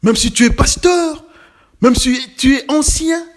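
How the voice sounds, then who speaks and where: A man's voice: very high voice, measured pace, noisy background. A man preaching a sermon with emphatic, building repetitions and rhetorical questions, slightly congested from a cold.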